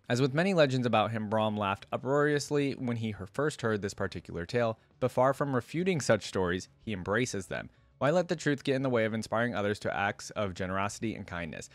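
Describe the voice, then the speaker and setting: A man with a medium-pitched voice hosts a reaction video in an informal way. He moves between casual commentary and reading aloud. The recording is dry, with little room sound. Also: no room sound. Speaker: host of a reaction channel.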